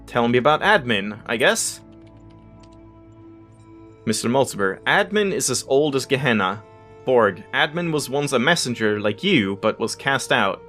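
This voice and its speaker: A man's voice: medium pitch, lively intonation, measured pace, fairly bright tone. Man reading game text over music. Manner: stumbles over unfamiliar words, self-correcting. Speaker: let's play host. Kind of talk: reading game text aloud with casual asides. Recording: music behind the voice.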